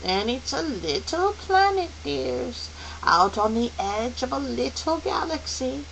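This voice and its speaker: A woman voicing a kindly, wise old celestial lady in a storybook, gentle and comforting with a lilting manner. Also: high-pitched, sing-song, slow pace, creaky, voice pushed up, heavily acted, rough recording. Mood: reassuring. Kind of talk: audiobook character voice. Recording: faint hiss.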